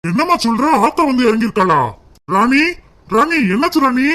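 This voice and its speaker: A woman's voice: high voice, animated, normal speed, very noisy, voice pushed down. A woman putting on the voice of a worried young husband who calls out anxiously to his wife and mother. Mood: alarmed. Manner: anxious, calling out.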